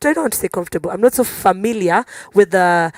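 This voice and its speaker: A woman with a Kenyan accent talking casually as a musician guest on a panel, telling stories in an animated way. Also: mid-range voice, fast, dry recording. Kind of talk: casual conversational panel talk.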